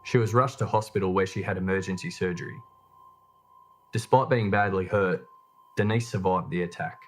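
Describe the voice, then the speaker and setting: A man reading scripted true crime documentary narration in a measured, matter-of-fact way. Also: low-pitched, a little hiss or hum.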